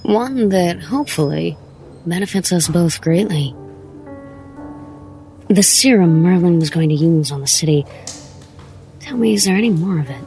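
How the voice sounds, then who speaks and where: An actress playing a silky, scheming female crime boss in an audio drama, coy and smug with measured delivery. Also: on the low side, lively intonation, warm tone, soft background music.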